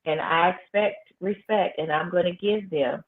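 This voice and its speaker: A woman high school principal, talking firmly and emphatically in a casual interview. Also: moderate pace. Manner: emphatic, firm, repeats phrases for effect. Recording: tinny phone-like line.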